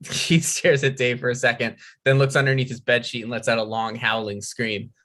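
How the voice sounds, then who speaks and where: A man's voice: medium pitch, fairly even intonation, brisk, heavy background noise. A man hosting a comedy table read, reading stage directions and introducing scripts casually.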